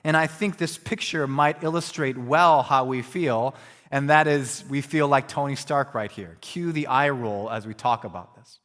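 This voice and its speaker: A man's voice: mid-range voice, moderate pace, fairly bright tone, big-room sound. A man preaching as a pastor, earnest and reflective, talking through a hard question in a conversational way. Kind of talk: sermon.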